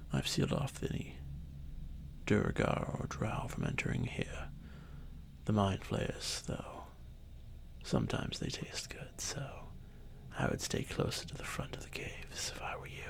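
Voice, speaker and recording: A man playing an arrogant, ancient dragon boy who was once a god, speaking with haughty condescension in a breathy, creaky voice for a fantasy ASMR roleplay. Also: mid-range voice, exaggerated voice. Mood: condescending, guiding. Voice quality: breathy, whispery, with creaky edges. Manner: haughty, condescending, unhurried formal speech.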